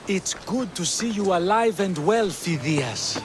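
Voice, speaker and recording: An actor playing a courteous, appreciative informant in an ancient Greek video game. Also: male voice, high-pitched, lively intonation, measured pace, a little hiss or hum. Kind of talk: video game dialogue acting. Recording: music under the voice.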